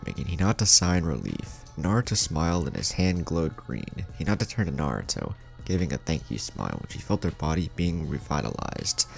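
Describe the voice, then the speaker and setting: A man with a gravelly voice reading a dramatic scripted story as its narrator. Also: sits low. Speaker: fan fiction narrator. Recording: music under the voice. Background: background music.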